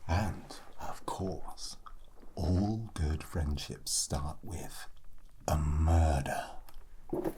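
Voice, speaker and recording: A man voicing a sassy, morbid undead skeleton in a hushed ASMR roleplay, wry and deliberate, often slipping into a whisper. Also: very deep voice, background noise, exaggerated voice. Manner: slow, deliberate, wry, theatrical pauses. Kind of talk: ASMR character roleplay monologue.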